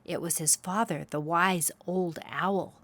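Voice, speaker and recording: A woman hosting a read-aloud, telling a children's picture-book story with expressive, deliberate phrasing for young listeners. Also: on the low side, no echo.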